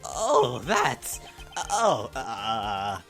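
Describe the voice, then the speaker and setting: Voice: mid-range voice, wildly swooping pitch, drawn-out words, music in the background, full character voice. A performer voicing a shifty, theatrical door-to-door salesman on the witness stand in a fan-dubbed game. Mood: flustered. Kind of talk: fan-dub game voice acting.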